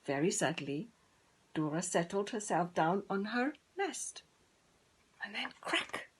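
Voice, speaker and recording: Slow, expressive woman reading a storybook. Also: medium pitch, slight background noise. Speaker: storybook reader. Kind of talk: expressive children's story narration.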